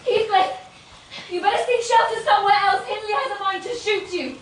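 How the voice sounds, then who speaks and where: An actress playing an anguished, defiant young wife in a radio drama, with a bitter, intense manner. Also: female voice, very high voice, fairly quick, a little hiss or hum. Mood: frantic. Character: anguished, weary, defiant young wife fleeing a violent husband.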